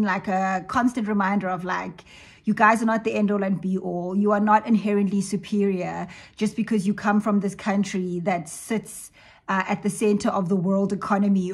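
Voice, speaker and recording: A woman's voice: mid-range voice, slightly rough. Reflective woman raised in South Africa. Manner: conversational, reflective, uses asides like "you know" and "right". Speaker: commentator. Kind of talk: personal video essay commentary.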